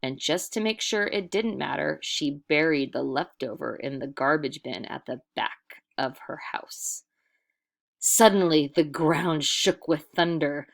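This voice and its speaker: Female storyteller, low register, for expressive picture-book narration with deliberate, dramatic emphasis. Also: creaky.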